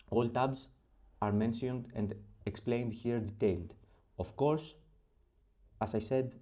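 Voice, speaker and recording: A man from Greece with a Greek accent, walking through a spreadsheet tutorial step by step, pausing often with fillers. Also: fairly low pitch, dark tone. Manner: methodical step-by-step explaining with frequent pauses and fillers. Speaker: spreadsheet template creator. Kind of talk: screen-recorded software tutorial.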